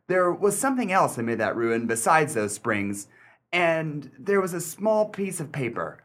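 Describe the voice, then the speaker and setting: A man acting as a shrewd, sardonic gentleman narrator in a mystery radio play, speaking with deliberate, theatrical emphasis and dry wit. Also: a little high, big pitch swings. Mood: wondering, deliberate.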